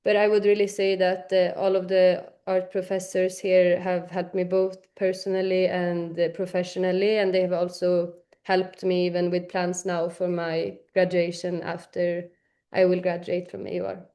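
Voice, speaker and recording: A woman student talking about her professors at a university event, with many pauses and fillers. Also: fairly low pitch, completely flat delivery, dark tone. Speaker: student speaker.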